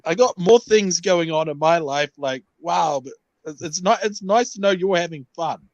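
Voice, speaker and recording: A man's voice: on the high side, moderate pace, no room sound, highs cut off. Teasing man, dry close sound. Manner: teasing, mocking jokes. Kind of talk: casual group chat.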